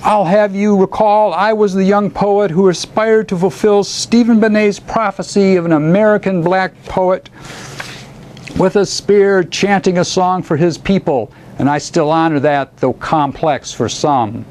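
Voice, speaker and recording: A man performing an eccentric, high-pitched declaiming bard. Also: unhurried, a little mellow. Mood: proud. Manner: theatrical, incantatory declamation. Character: eccentric grandiose male elder poet, bardic and defiant. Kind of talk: dramatic epic poetry recital.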